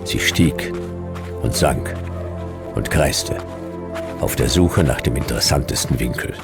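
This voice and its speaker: Very deep, gravelly hard-boiled detective. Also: male voice, measured pace. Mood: brooding narration. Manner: terse, dry, brooding. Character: laconic, world-weary male hard-boiled detective narrating his adventure. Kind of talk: radio noir narration and dialogue. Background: music.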